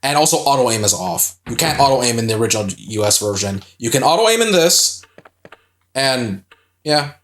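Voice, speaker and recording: A man's voice: mid-range voice, sing-song, moderate pace, fairly bright tone. A young man co-hosting a casual video game playthrough, rambling and swearing often, with lots of filler words. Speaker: co-host playing a video game.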